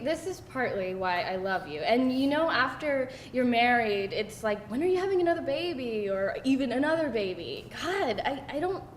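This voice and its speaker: A woman playing a candid, self-deprecating girlfriend in a casual, conversational screenplay read. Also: lightly acted. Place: live table read in a roomy indoor space. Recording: roomy live room, noisy rumble.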